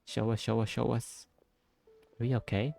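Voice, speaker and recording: A man giving casual playthrough reactions as a game streamer, talking back to the characters with soft interjections. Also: medium pitch, little pitch movement, moderate pace, gravelly. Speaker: gameplay streamer.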